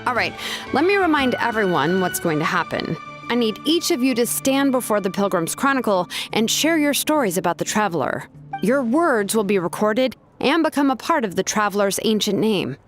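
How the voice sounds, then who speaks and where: Young female game artisan played by a woman: easygoing yet businesslike, mid-pitched with lively inflection, faint music underneath. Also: moderate pace, natural voice. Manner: casual, hesitant, matter-of-fact. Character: laid-back, businesslike young woman, master name forger. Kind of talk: video game character acting.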